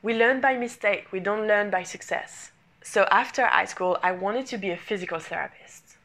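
A woman's voice: medium pitch, moderate pace, fairly bright tone. French woman telling her story. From France. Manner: earnest, repetitive phrasing, non-native English grammar. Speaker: speaker sharing her life story. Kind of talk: personal motivational monologue.

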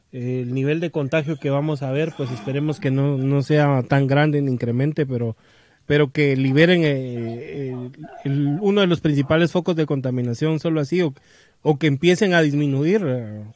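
Man, Guatemalan accent, somewhat high pitch, dry recording, informal panelist, halting phrasing. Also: a little mellow, no echo. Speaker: talk show panelist.

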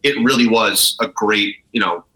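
A man's voice: fairly low pitch, normal speed, bright, forceful tone, poor audio. A man speaking as an interview guest, telling the story of his business in a casual, conversational way. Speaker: interview guest, brewery co-founder.

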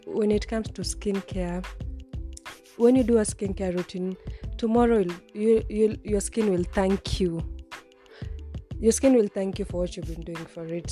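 Mellow-voiced young woman hosting a podcast. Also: on the low side. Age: 20s. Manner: chatty, rambling, frequent restarts. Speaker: podcast host. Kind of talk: casual solo podcast talk.

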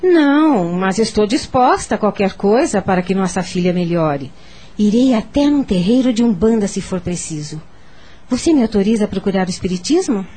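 Husky-voiced, anguished stubborn mother. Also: mid-range voice, lively intonation, normal speed, warm tone. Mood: desperate. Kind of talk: radio soap opera acting.

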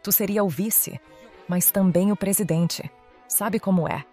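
A woman with a Brazilian accent playing a pragmatic, persuasive teenage schoolgirl in audio drama, with measured delivery. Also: mid-range voice, dark tone, soft background music, natural voice.

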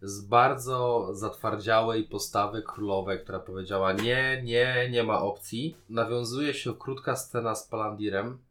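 A man's voice: lowish voice, steady tone, measured pace, hardly any pauses, a bit bright. A man co-hosting a podcast in casual chat, musing and colloquial as he talks. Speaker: podcast co-host. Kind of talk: casual chat, film and series discussion.

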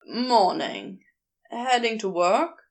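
A woman voicing an awkward, guarded man in an audiobook story, deadpan, curt and a little strained. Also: mid-range voice, slow. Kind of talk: fan fiction audiobook character voice.

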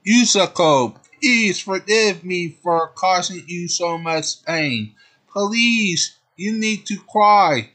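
A man doing a gentle, pleading young hero. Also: high voice, animated, slow pace, voice pushed up, heavily acted. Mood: comforting, pleading. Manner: soft, comforting, begging.

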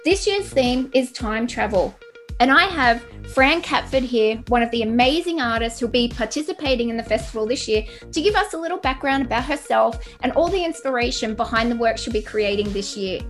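A woman with a high voice hosts a podcast interview, introducing and questioning a guest. Faint music can be heard along with her. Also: animated, brisk.

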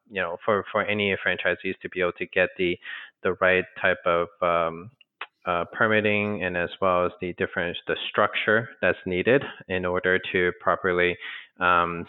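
An American man from Texas answering in a conversational business interview, explaining things in a halting, rambling way with self-corrections. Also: sits low, clear, resonant tone. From Texas, United States. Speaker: interview guest, franchise business co-founder. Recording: narrow, tinny phone-line sound.

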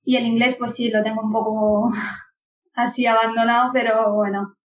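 A woman's voice: a little high, level tone, normal speed, distant mic, poor audio. A woman from Pakistan whose first language is Punjabi, a podcast guest chatting casually with hesitant restarts and laughter. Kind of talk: casual conversation.

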